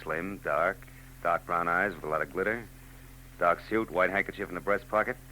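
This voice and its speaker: A wisecracking hardboiled detective. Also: male voice, low voice, fairly even intonation, moderate pace, sharp tone, a little hiss or hum, poor audio. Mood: wry. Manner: dry, sardonic wisecracks. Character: wisecracking hardboiled male private eye. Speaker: narrator and lead. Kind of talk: 1940s radio acting.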